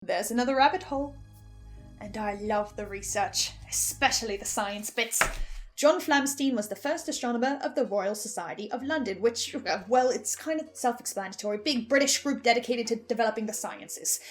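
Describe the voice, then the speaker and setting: A woman giving enthusiastic theorising commentary as a listener, excitable and often interrupting herself. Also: fairly high pitch, normal speed. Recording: music behind the voice. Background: music.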